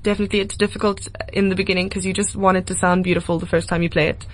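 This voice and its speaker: A woman's voice: lowish voice, level tone, very fast, rough recording. A woman in her twenties from Cape Town with a South African accent, speaking earnestly and reflectively as a musician guest in a casual radio interview. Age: 20s. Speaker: singer-songwriter guest.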